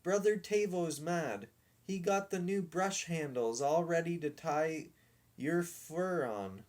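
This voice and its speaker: Storybook little boy, bossy and scolding, pitched high, acted by an adult male reader. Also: slow pace, darkish tone. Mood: urgent warning. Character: small boy, urgent and bossy. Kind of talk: children's storybook read aloud.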